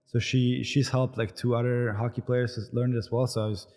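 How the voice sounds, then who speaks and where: A man in his twenties with an Austrian German accent, a pro hockey player answering interview questions in a halting way, full of fillers and restarts. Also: medium pitch, little pitch movement, darkish tone. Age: 20s. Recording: long reverb, echoey room.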